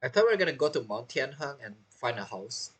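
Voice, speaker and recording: A woman's voice: a bass voice, very animated, fast talker, husky. A woman giving casual let's-play commentary, musing with offhand reactions and guesses. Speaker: gamer commenting on a playthrough.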